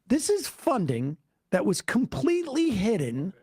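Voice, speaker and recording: A commentary host who keeps pausing a played clip to make sarcastic points. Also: mid-range voice, wildly swooping pitch, warm tone, breathy edge, no echo. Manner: interrupts to pause the clip, sarcastic.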